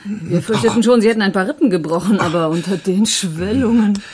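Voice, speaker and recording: A woman's voice: medium pitch, brisk, crowd noise, lightly acted. An actress playing a teasing, mocking woman who tends a bruised man in a German radio play. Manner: playfully mocking.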